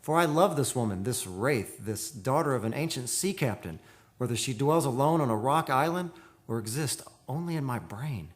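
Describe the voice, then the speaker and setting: A man's voice: mid-range voice, lively intonation, normal speed, close to an everyday voice. A man playing a tormented, lovesick romantic in a radio play, declaiming poetically in a theatrical, anguished manner. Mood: anguished wonder. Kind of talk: old-time radio play acting. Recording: prominent music under the voice.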